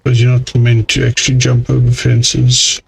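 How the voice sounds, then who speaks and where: A man's voice: mid-range voice, completely flat delivery, normal speed, vocal fry, husky, rough recording. A man giving casual let's play commentary in a grumbling, exasperated, mildly sweary way. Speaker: gaming video host.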